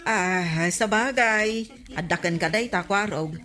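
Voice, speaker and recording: A practical village mother in an Ilocano radio comedy. Her voice is sharp and bright, at a medium pitch. Music plays clearly behind her. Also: a little slow. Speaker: radio drama actor. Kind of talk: comedy radio drama acting.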